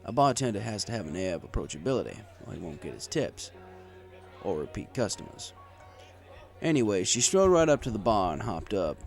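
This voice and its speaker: Male audiobook narrator, low pitch, animated delivery, first-person bartender storytelling over music. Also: fairly quick, gravelly. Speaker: audiobook reader.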